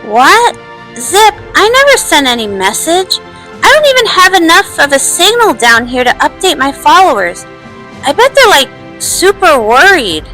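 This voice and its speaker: A woman voicing an earnest, bubbly young pony princess in a cartoon fan dub, girlish and expressive. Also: extremely high pitch, unhurried, faint music behind, exaggerated voice, low-quality recording. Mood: puzzled, chatty.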